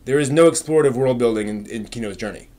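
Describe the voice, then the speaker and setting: Male live stream host, mid-pitched, casual and opinionated, halting as he thinks through replies to chat. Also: darkish tone.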